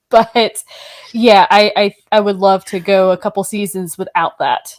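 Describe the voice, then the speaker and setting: A woman guest on a casual podcast, candid with her opinions and quick to laugh. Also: mid-range voice, steady tone.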